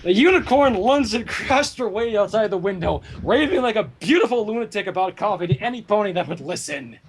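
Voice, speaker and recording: A woman narrating fan fiction in an animated, informal way, with dramatic story reading. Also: mid-range voice, a little fast, a little hiss or hum.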